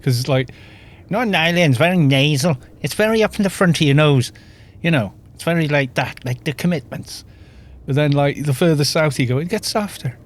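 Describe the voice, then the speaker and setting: A man's voice: fairly high pitch, brisk. A man in his fifties from North Wales with a North Welsh accent, chatting casually and rambling while he leads a game group on a live stream. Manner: chatty, rambling, chuckles often, reads chat aloud. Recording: faint background music.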